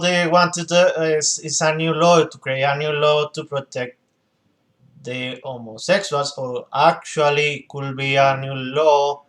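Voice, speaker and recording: Slow, halting Colombian man. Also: mid-range voice, a bit bright. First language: Spanish. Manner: halting, searching for words, many restarts. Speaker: commentator reacting to a clip. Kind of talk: reaction commentary.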